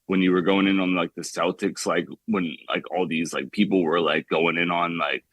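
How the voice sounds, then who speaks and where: Young man, caller, low-pitched, dry close sound, rambling, says "like" constantly. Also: fairly even intonation, normal speed, fairly bright tone, no room sound. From San Francisco Bay Area. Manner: rambling, heavy use of "like", many restarts. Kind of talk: casual call-in chat.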